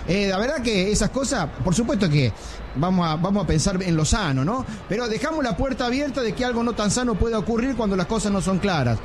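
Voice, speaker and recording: A man's voice: high voice, expressive, quick pace, slight background noise. A man with an Argentine accent giving live football analysis in discussion with fellow broadcasters. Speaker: football commentator.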